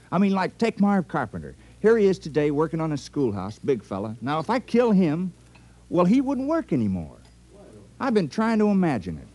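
An actor in a 1950s radio comedy playing a reluctant, mild-mannered, plain-spoken villager made town criminal. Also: male voice, sits high, darkish tone.

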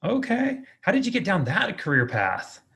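Male podcast host, slightly high pitch, very lively intonation. Informal, encouraging, rewords questions on the fly. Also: moderate pace, vocal fry, a little hiss or hum. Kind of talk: casual conversational interviewing.

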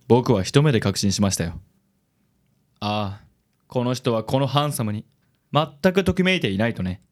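A man's voice: mid-range voice, natural voice. Self-admiring male investigator acted by a man, medium register, suave and theatrical, for radio drama. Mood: smug. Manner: smooth, theatrical self-assurance.

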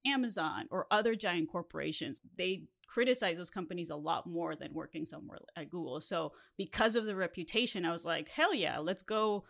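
A woman in her thirties who grew up in Mexico and speaks Spanish as her first language hosts a casual podcast. Her voice sits a little low, and she tells her story candidly, slipping laughs in between phrases. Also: brisk, vocal fry, hardly any pauses, fairly bright tone. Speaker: podcast host.

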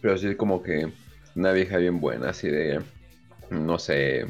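A man's voice: low-pitched, unhurried, muffled recording, faint music behind. A man with a Mexican Spanish accent, co-hosting a casual podcast chat in a laid-back, drawling, crude way. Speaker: podcast co-host.

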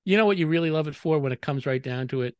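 A man co-hosting a travel podcast, talking in an enthusiastic, expressive and playful way. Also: a little high, very fast, dry recording. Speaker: podcast co-host. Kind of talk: casual podcast chat.

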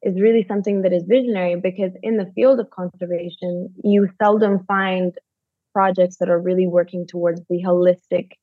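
A woman speaking thoughtfully as a podcast guest, pausing to find her words, heard over a dull, dry remote line. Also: fairly low pitch, fairly even intonation, no echo. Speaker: nonprofit director. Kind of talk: podcast interview.

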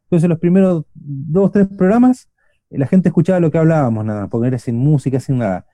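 Argentine guy hosting a radio show, really dark mellow voice, dry sound, rambles with lots of ums. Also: fairly high pitch, expressive, quick pace, no room sound. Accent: Argentine Spanish. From Argentina, Buenos Aires. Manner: rambling, many restarts and fillers. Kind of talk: casual chat.

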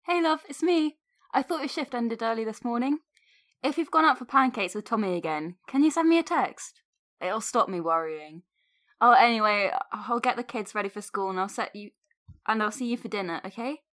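A woman's voice: on the high side, expressive, normal speed, a bit bright. A woman playing a caring wife and mother leaving an affectionate, homely, mildly worried voicemail.

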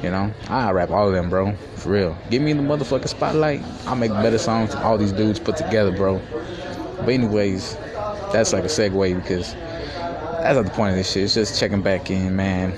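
Brief: young man, very low voice, streetwise and profane, boastful, restaurant crowd chatter behind. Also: moderate pace. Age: 20s. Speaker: podcast host. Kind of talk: casual solo podcast monologue. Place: fast-food restaurant.

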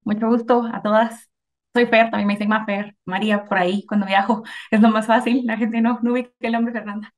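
A woman's voice: fairly high pitch, little pitch movement, brisk. A woman in her twenties, a guest in a casual group discussion, speaking thoughtfully and hesitantly with many fillers. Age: 20s.

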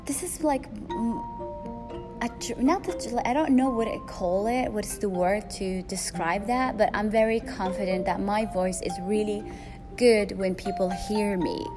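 Loud-voiced woman podcaster over music. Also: mid-range voice, unhurried. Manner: rambling, frequent fillers and restarts. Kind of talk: solo podcast monologue. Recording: music playing behind.

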